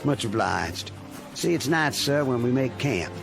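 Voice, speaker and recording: An actor playing a polite, wounded young Confederate soldier with a Southern American accent, in humble radio drama style. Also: male voice, mid-range voice, animated, unhurried, vocal fry. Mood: eager. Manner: deferential, plain-spoken country talk. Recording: music behind the voice.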